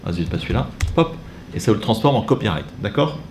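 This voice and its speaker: A man's voice: on the low side, big pitch swings, quick pace, a little mellow, a little hiss or hum. A man giving an informal training talk to catechists, chatty and digressive with self-mocking asides while demonstrating on a computer. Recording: echoey room, faint ambience.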